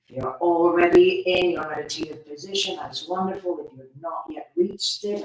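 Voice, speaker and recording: A meditation teacher guiding listeners gently and soothingly, with drawn-out phrases and pauses. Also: medium pitch. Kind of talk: guided meditation instruction. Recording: very echoey room, distant from mic.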